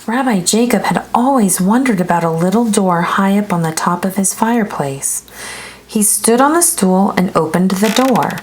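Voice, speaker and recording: A woman narrating a children's book aloud in an expressive storytelling style. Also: mid-range voice, moderate pace, slight background noise.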